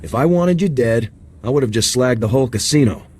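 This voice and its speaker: A man's voice: mid-range voice, brisk, a little hiss or hum, natural voice. Casting: male actor for a firm, unflappable military hero in a video game, mid-range voice, faint ambience behind. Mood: dry, confident. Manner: calm, firm, dry. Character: composed, steely male soldier hero.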